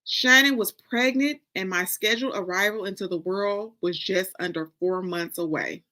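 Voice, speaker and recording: An American woman from Detroit giving a dramatic first-person story reading, with deliberate, expressive phrasing and pauses. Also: medium pitch. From Detroit, United States. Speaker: author reading from a novel.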